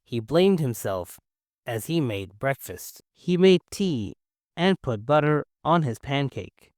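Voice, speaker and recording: Lively Chinese-accented man teaching English. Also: medium pitch, slow pace, dry recording, studio quality. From China. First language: Mandarin. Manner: encouraging, clear and simple phrasing. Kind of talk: scripted English-learning lesson.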